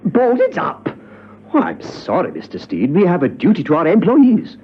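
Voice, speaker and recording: An actor playing a fussy, effusive and exacting boss of a window-cleaning firm in a radio drama, with theatrical, hesitant manner. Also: male voice, fairly high pitch, wildly swooping pitch, moderate pace, slight background noise. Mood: fussily apologetic. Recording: dull lo-fi vintage radio recording.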